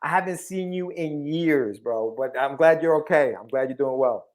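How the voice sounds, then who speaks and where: A man's voice: fairly high pitch, normal speed, darkish tone. A man hosting a podcast interview, chummy and casual, who often repeats his phrases. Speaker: podcast host.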